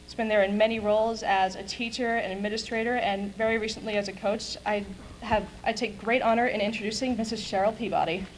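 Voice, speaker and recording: A young woman giving a prepared graduation speech as a student, in a measured, declamatory way. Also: mid-range voice, fairly even intonation. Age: young adult. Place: high school graduation ceremony, at a podium. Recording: clear hum and noise of event video.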